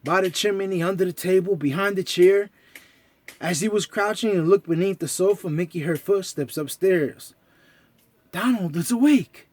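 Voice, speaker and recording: A man narrating a children's story as an expressive storyteller. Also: high-pitched, moderate pace, no echo.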